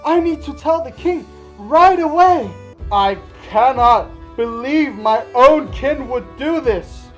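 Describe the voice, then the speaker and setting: A streamer putting on a shrill, scandalized royal schemer. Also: lively intonation, slow pace, soft background music. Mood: outraged. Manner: indignant, theatrical, loud.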